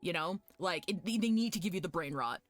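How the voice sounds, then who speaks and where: A woman streaming a game live, chatting casually with viewers in an animated, sweary, fan-like way. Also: fairly low pitch, brisk, faint music behind. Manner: foul-mouthed. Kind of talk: casual chat with viewers while gaming.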